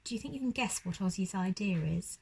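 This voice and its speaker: A woman's voice: mid-range voice, lively intonation, fairly quick, gravelly, a little mellow, breathy edge. A woman reading a children's bedtime story expressively, like a primary school teacher addressing young children.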